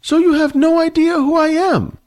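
A man's voice: steady tone, moderate pace, darkish tone. Seth, a man doing a fussy old wizard. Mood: amused. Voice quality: thin, high and fussy. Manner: prim, haughty and inquisitive. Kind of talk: storybook reading.

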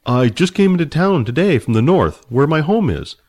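A man's voice: on the high side, sing-song, moderate pace, darkish tone, pitched above the performer's own voice. A man reading a storybook aloud, voicing an earnest, hungry young barbarian man who talks plainly and straightforwardly.

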